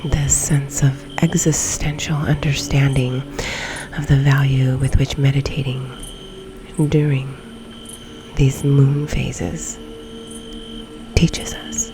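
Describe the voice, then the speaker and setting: A woman leading a guided meditation in a soft, breathy, often near-whispered ASMR delivery, with long pauses between phrases. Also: extremely low pitch, level tone, poor audio. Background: background music.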